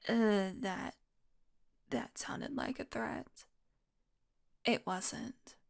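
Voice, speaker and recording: A woman's voice: a little high, drawn-out words, exaggerated voice. A woman playing a wounded, exhausted young vampire in an intimate audio roleplay, rambling wearily with sardonic, pained asides. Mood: drained, wry. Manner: weary, rambling, sardonic, with sighs and breathy asides. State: exhausted, in pain. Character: wounded, exhausted young female vampire, wry and foul-mouthed.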